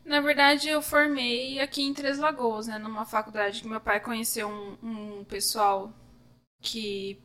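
Brazilian woman guest, kinda shy, higher voice, talks a bit hesitant. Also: fairly even intonation, a little slow, sharp tone. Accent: Brazilian Portuguese. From São Paulo state, Brazil. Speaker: guest, music teacher. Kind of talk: casual interview.

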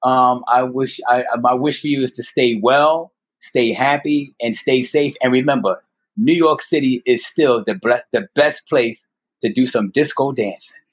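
A man with a medium-pitched voice talks casually as an interview guest. He is earnest and halting, often repeating a word before he completes the thought. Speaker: podcast guest storyteller. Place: friend's apartment.

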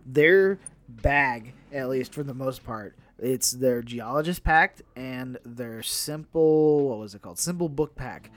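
A man's voice: medium pitch, takes it slowly, a bit bright. A man co-hosting a podcast in a casual, conversational style, often restarting and repeating his phrases as he goes. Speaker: podcast co-host. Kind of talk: casual chat about entertainment news.

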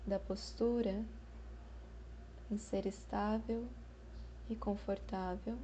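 A Brazilian woman guiding a meditation in a soothing, unhurried manner, with long pauses between short phrases. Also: mid-range voice, fairly even intonation, warm tone, dull audio, a little hiss or hum. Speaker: meditation guide. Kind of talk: slow guided meditation.

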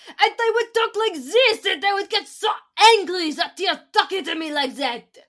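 A woman's voice: very high voice, expressive, normal speed, a bit bright. A woman co-hosting a podcast in casual chat, playful and giggly. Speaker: podcast co-host.

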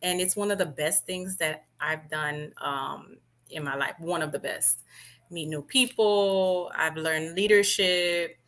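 A woman's voice: lowish voice, slow, a bit bright. A woman from Miami answering as an interview guest in a composed, conversational, explanatory way. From Miami, Florida. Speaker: podcast guest, nurse and business owner.

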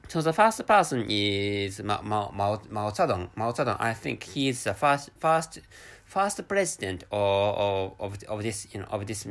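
A Japanese man speaking English with a Japanese accent as a second language, hosting a solo podcast in a halting way with many restarts and repeated words. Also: fairly low pitch, very animated, fairly bright tone. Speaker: podcast host.

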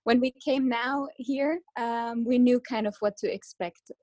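A young woman from Kazakhstan raised in Germany, speaking as an interview guest in a relaxed, chatty way. Her voice sits a little high, and she laughs easily between thoughts. The sound is dry and close. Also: measured pace, dry recording. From Kazakhstan, grew up in Germany. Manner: laughs often, hedges with "let's say". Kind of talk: casual conversational interview.

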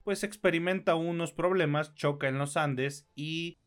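A man's voice: on the high side, unhurried. A man with a Mexican accent co-hosting a podcast, reviewing films in a casual, slangy and opinionated way. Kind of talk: casual conversational film review.

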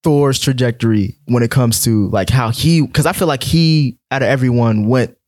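A man's voice: mid-range voice, normal speed, a little mellow, no echo, studio quality. A man chatting casually as one of the panelists in a review discussion.

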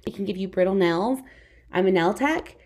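A woman's voice: mid-range voice, animated, moderate pace, a little mellow. A young woman with a scratchy voice talking frankly and casually to camera, full of "like" fillers. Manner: frank, conversational, many "like" fillers. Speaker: vlogger. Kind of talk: candid personal talk to camera.

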